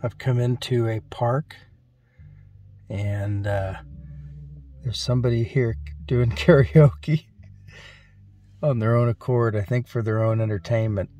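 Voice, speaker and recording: A man's voice: medium pitch, fairly even intonation, slow pace, long pauses, dark tone. A man holding his phone while filming outdoors in a park, chatting casually and asking short curious questions. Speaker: vlogger. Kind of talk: casual vlog narration and interview. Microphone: phone camera he holds.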